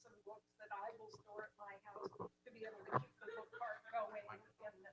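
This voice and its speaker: A woman's voice: mid-range voice, sing-song, moderate pace, gravelly, a loud crowd. A woman library volunteer speaking at a council meeting, chatty and self-aware with fillers. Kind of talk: public comment at council meeting.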